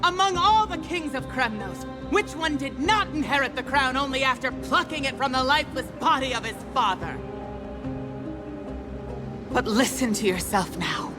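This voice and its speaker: A woman's voice: high-pitched, lively intonation, moderate pace, over music. An actress playing a fierce, defiant warrior queen in a video game, speaking in an impassioned, commanding and formal way. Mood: scornful anger. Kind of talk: dramatic video game acting.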